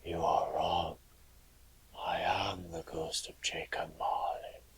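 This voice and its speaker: An actor playing the solemn ghost of a dead man in a radio play, speaking slowly in a breathy, unvoiced whisper. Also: deep voice, wildly swooping pitch, full character voice. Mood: ominous. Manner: slow, solemn. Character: solemn male ghost of dead business partner. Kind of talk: radio drama acting.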